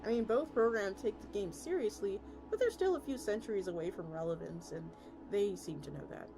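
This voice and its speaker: Lady streamer reading a story out loud, kinda deep for a woman, trips on words, music going behind her. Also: expressive, fairly quick. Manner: stumbles over words and restarts lines. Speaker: VTuber streamer. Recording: music playing under the voice.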